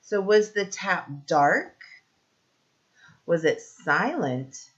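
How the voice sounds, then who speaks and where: A woman teacher leading a read-aloud lesson for young children, asking simple questions, pausing for answers and encouraging them. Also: mid-range voice, big pitch swings, highs cut off.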